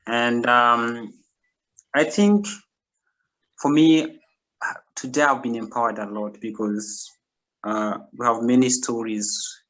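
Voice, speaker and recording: A man from Kenya telling his own story as an invited speaker on a video call, halting now and then with fillers. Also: medium pitch, a bit bright, low-quality recording.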